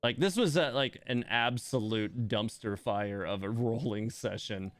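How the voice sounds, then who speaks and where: A man's voice: medium pitch, unhurried, no echo, soft background music. A man giving casual, rambling live stream commentary on a game, thinking aloud as he plays.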